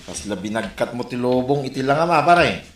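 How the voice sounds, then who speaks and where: A man plays an indignant Ilocano buddy with a mid-pitched voice, griping emphatically in a comedy drama. The room echoes and guitar music plays behind. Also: animated, brisk, lightly acted. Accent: Filipino, Ilocano. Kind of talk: comedy audio drama acting.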